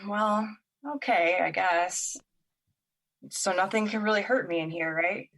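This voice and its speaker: An actress plays a wounded young woman in an audio drama. She speaks in a medium-pitched voice, casual and halting. She is wary yet openly candid about herself. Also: a bit bright. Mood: wary, curious. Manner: casual, hesitant, slangy.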